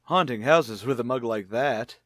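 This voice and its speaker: A man's voice: medium pitch, normal speed, a bit bright, no room sound, studio quality, close to an everyday voice. A man playing an easygoing, joking husband and amateur sleuth in a radio mystery drama. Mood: amused, teasing. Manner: joking, playful.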